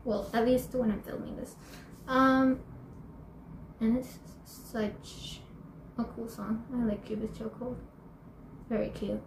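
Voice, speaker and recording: High-voiced bubbly teen girl, echoey room. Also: fairly even intonation, takes it slowly, vocal fry, pauses often, slight background noise. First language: Spanish and English. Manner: bubbly and chatty, laughs often, many fillers and restarts. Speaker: YouTuber. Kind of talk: casual Q&A vlog. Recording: echoey room, faint ambience.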